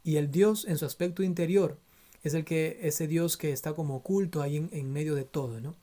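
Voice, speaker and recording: A priest from Ecuador teaches theology in an earnest, admonishing way, his Ecuadorian Spanish accent clear throughout. His voice is mellow and warm, and he keeps checking in with his listeners as he explains. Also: a little high, brisk. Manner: earnest, admonishing, frequent tag questions. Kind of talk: theology lecture.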